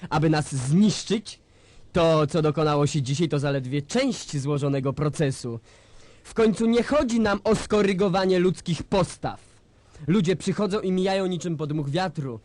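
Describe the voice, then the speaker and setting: An actor playing a composed, idealistic guerrilla leader, speaking with declamatory authority in a radio drama. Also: male voice, sits high, a little mellow, dry recording. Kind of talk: stage-like radio drama acting.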